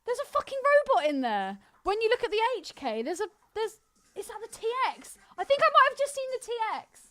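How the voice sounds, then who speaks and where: High, animated woman chatting on a podcast. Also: brisk, no room sound. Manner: animated, sweary, exclamatory. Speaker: podcast co-host. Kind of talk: casual chat about a film.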